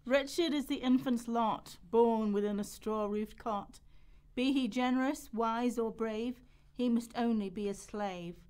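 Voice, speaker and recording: A woman performs a solemn reciter in a radio drama, speaking up for the poor. The voice sits rather high. The words are drawn out and stressed with care. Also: a little slow, a little mellow, clear, resonant tone, lightly acted. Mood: mournful, emphatic. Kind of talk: dramatic verse and quotation recital, radio play.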